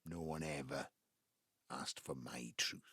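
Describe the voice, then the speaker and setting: Husky, low-voiced Welsh patriarch. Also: male voice, pushed and bright, dry recording, studio quality, heavily acted. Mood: defensive, angry. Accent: South Wales Valleys. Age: 70s. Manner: halting, reflective, breaking into low muttering. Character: embittered ageing Welsh patriarch, wistful and self-justifying. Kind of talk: naturalistic audio drama monologue.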